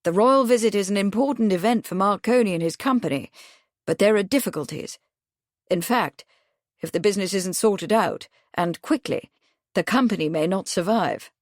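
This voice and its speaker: A woman playing a reserved gentleman husband who speaks in a calm, measured way. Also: no echo, clean studio sound, natural voice. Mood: grave. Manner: measured, low and earnest.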